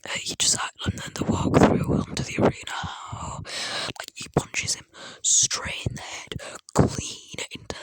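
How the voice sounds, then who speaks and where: A person speaking in a breathy, unvoiced whisper, telling an improvised fan story in a rambling, halting way, close to a phone. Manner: rambling, halting, acting out characters' dialogue in passing.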